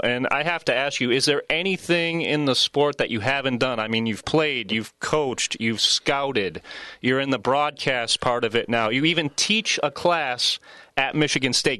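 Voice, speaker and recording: A man's voice: mid-range voice, sharp tone, dry recording. A man hosting a sports podcast in an upbeat interview style, recorded in a studio. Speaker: podcast host.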